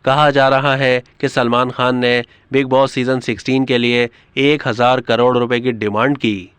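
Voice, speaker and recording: Clear-voiced man narrating, dry studio sound. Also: mid-range voice, level tone, moderate pace, a bit bright, no room sound. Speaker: narrator of an entertainment news video. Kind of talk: scripted narration.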